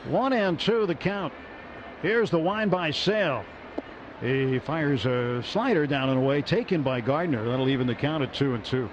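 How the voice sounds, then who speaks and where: A man calling baseball play-by-play on the radio, brisk and lifting on big plays. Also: on the high side. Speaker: play-by-play announcer. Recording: muffled radio broadcast with noise.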